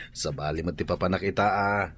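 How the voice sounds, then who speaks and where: A man's voice: deep voice, fairly even intonation, unhurried, a bit bright, slight background noise. A man with an Ilocano accent acting an easygoing husband who asks questions, in a radio drama. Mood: friendly teasing.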